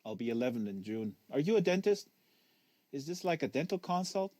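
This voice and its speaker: A man's voice: mid-range voice, big pitch swings, a little fast, dark tone, no echo. A man reads as a precocious young boy in a literary audiobook. He is wary and literal, stumbling over some words and exact to the point of pedantry. The sound is close and dry. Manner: hesitant, stammering, pedantically exact. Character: precocious, wary, literal-minded ten-year-old tennis prodigy boy.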